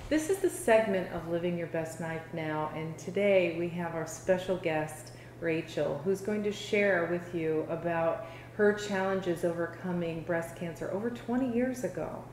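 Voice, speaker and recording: A woman hosts the show and puts gentle, unhurried questions to her guest in a lowish voice. Her sound carries a roomy echo with a faint hum of background noise. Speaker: host. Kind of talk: show host interviewing a guest.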